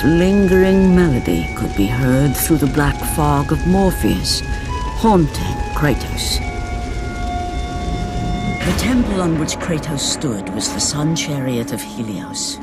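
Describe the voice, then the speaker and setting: Slow, solemn male narrator. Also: mid-range voice. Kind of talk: scripted game narration.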